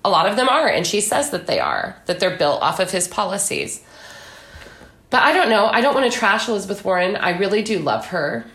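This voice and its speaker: A woman's voice: mid-range voice, big pitch swings, brisk, fairly bright tone. A woman guest giving her opinions in a casual political discussion, conversational and often acting out what other people say. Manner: conversational, opinionated, quotes others in mock dialogue. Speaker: guest author and commentator. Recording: echoey room.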